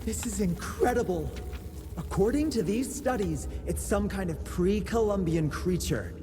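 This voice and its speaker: An excitable young tinkerer who rambles eagerly. His voice is high and swings widely in pitch. Game music runs under him. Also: unhurried. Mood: excited. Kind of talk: game cutscene voice acting.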